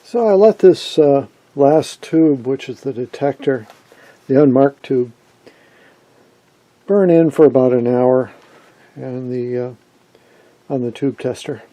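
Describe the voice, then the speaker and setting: A man's voice: medium pitch, takes it slowly, pauses often, warm tone, no echo. A middle-aged man giving a casual, matter-of-fact hands-on demonstration as a hobbyist presenter, explaining as he works. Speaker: vintage radio hobbyist presenter. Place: indoor workshop, off camera at a workbench.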